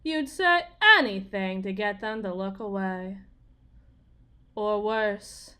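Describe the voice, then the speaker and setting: A woman's voice: medium pitch, takes it slowly, bright, forceful tone, clean tone. A woman playing a bitter, desperate young Southern US wife, confiding in a folksy, theatrical way in an audio roleplay monologue.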